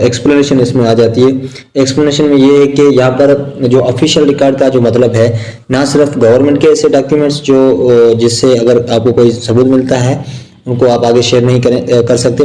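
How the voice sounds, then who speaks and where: A man with a Pakistani accent giving an informal law lecture, explaining point by point in a repetitive, halting way with English terms mixed in. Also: medium pitch, warm tone, poor audio.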